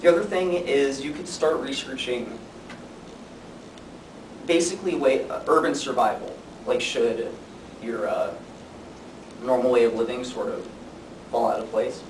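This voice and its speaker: A young man giving an informative student speech in a conversational way with deadpan humor. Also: a little slow, pauses often. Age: young adult. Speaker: student speaker. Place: classroom. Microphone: distant camera microphone. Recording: distant mic, echoey room, noisy rumble.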